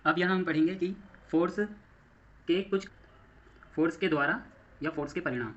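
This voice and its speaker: Indian male teacher, slightly high, muffled recording. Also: normal speed. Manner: explanatory, repeats phrases and asks himself questions. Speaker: physics teacher for exam coaching. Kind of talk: classroom lecture mixing Hindi and English terms.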